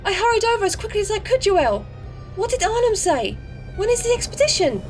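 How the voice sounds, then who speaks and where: Very high-pitched, frightened wife. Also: female voice, sing-song, brisk, faint music behind. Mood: anxious. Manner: melodramatic, alarmed, pleading. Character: frightened, pleading wife of a madman. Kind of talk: audio comic acting.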